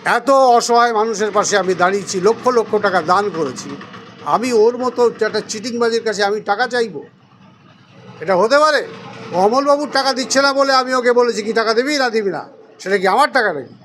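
Older guy in his 60s from bengal, pretty high voice, defensive politician talking to press, bit of noise behind. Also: normal speed, fairly bright tone. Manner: emphatic, defensive, rhetorical questioning. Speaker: local politician answering a reporter. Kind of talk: news interview statement, rebutting accusations.

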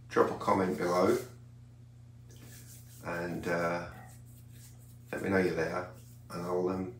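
Very deep, gravelly older man. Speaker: radio hobbyist vlogger. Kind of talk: casual hobby vlog commentary. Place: small home radio room, indoors. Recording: roomy sound with faint hum.

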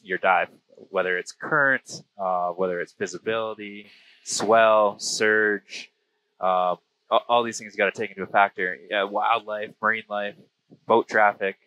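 Bright, low-pitched Canadian man telling stories. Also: steady tone, measured pace, poor audio. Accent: western Canadian. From British Columbia, Canada. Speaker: interview guest, diver and filmmaker. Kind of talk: casual storytelling interview. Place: small plane cockpit in flight. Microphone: aviation headset boom. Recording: close aircraft headset intercom.

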